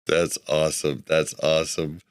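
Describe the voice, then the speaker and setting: A man's voice: rumbling low, flat delivery, normal speed, clear, resonant tone, no echo, studio quality. A man hosting a casual gaming podcast, easygoing and laughing along with his guest. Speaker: podcast host.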